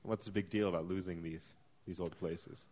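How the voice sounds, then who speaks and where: A man's voice: deep voice, steady tone, normal speed, darkish tone. A man hosting a casual podcast, joking and rambling with lots of fillers. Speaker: podcast host.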